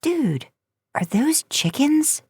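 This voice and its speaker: A cheeky young boy from a story, played by a woman narrator. His voice is pitched up, inquisitive and teasing, the bookish younger brother, in a dry close recording. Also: big pitch swings, takes it slowly, darkish tone, dry recording, full character voice. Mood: curious, teasing.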